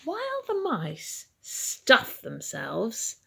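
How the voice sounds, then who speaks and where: Female storybook reader, slow and theatrical, with creaky edges, for bedtime tales. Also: a little high, a little hiss or hum. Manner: dramatic, expressive, deliberately paced. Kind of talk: animated children's bedtime story reading.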